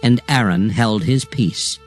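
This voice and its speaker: A man narrating scripture from a script in a solemn, measured, formal manner. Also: on the low side. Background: music.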